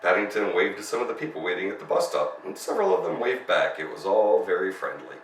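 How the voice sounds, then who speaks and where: A man's voice: deep voice, big pitch swings, fast talker. A man narrating a children's story as a storyteller, reading expressively. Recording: roomy, distant mic, faint noise.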